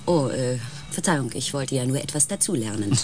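Casting: restrained expedition leader and narrator, sci-fi radio drama, composed delivery, noticeable ambient noise. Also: female voice, rumbling low, lively intonation, a little fast. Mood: restrained, formal. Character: restrained expedition coordinator narrating a troubled space voyage. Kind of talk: scripted first-person radio drama narration.

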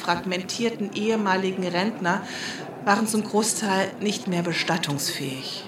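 A woman's voice: medium pitch, moderate pace, close to an everyday voice. A woman playing a female official in a radio play, reading a formal, callous bureaucratic statement in stiff officialese. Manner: stiff officialese, stumbling over the text. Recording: echoey, distant microphone with crowd noise.

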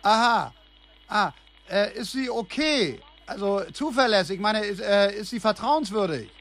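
High-pitched, hesitant, agitated German man. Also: expressive, measured pace, a bit bright, a little hiss or hum, heavily acted. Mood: nervous, suspicious. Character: excitable, gullible yet suspicious German man, Elmo. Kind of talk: naturalistic German radio play acting.

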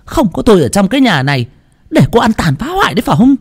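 A man voicing a domineering older mother-in-law who scolds in shrill, rapid tirades. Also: very animated, slightly rough, heavily acted. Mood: shrieking scold.

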